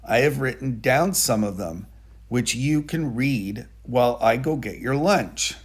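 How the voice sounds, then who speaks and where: A man reads as a kindly, patient man from a children's storybook. He sounds instructive and gently encouraging, with a husky tone and animated pitch. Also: a little slow. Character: patient, kindly man teaching his pet monkey letters. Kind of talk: storybook character dialogue.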